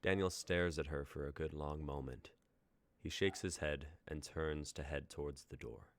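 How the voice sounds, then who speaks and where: Very deep-voiced man reading directions. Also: steady tone, moderate pace. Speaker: narrator reading stage directions. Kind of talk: reading scripted narration aloud.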